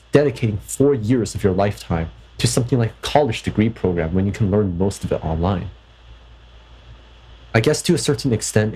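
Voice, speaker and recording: Low, husky-voiced young man musing. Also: darkish tone, rough recording. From Canada. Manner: hesitant, thoughtful, with frequent restarts and stumbles. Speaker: vlogger. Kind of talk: reflective personal vlog monologue.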